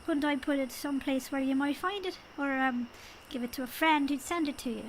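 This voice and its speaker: A woman's voice: sits high, fairly quick, slight background noise, natural voice, rough recording. A woman playing a small, wily, proud leprechaun king with a sly, folksy way of talking in an audio drama. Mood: sly, teasing. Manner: folksy, sly, teasing storytelling. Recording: music behind the voice. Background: music.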